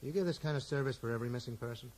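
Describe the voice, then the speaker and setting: Actor doing terse wary american guy, sardonic, talks fast, noisy 80s radio drama. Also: medium pitch, darkish tone, close to an everyday voice. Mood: wary, dry. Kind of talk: 1980s radio drama acting.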